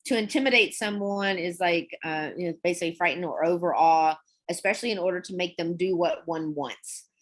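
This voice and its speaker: A young adult woman teaching an online English class, explaining words in a chatty, relaxed way and laughing often. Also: lowish voice, brisk, few pauses.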